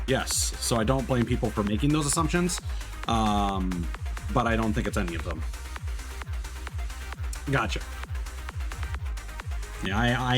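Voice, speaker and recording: Man casually commentating a game stream. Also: on the low side. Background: faint game music.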